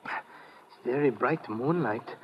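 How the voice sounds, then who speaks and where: A man's voice: medium pitch, slow, lightly acted. An actor playing a loyal, anxious sidekick in old radio adventure, hesitant and deferential in his speech. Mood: hushed, uneasy. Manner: hesitant, deferential, speaks of himself in third person. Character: loyal, earnest, anxious male sidekick of the hero. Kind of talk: 1940s-50s radio adventure acting. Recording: dull, hissy old radio recording.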